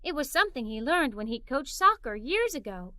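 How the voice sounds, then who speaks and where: Bright, high-pitched woman reading a children's story. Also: a little fast, dry recording, clean studio sound. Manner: expressive, performs the characters' dialogue. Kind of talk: animated read-aloud storytelling for children.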